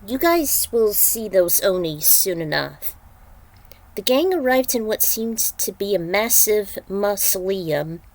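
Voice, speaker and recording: A woman reading fan fiction aloud and giving casual recaps in a deliberate, halting manner. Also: medium pitch. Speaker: fan fiction author and reader.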